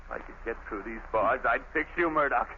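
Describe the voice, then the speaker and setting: A man's voice: mid-range voice, brisk, natural voice. An actor playing a young condemned male killer, bitter and desperate, in a 1930s radio drama. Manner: swings from hushed dread to shouting. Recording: tinny old radio broadcast, faint hiss.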